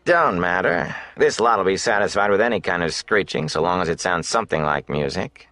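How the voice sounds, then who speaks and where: A man voicing a surly, stingy, menacing innkeeper in an audiobook, grudging and curt with rough folksy phrasing. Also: low-pitched, animated, moderate pace, sharp tone, dry recording, heavily acted. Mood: dismissive.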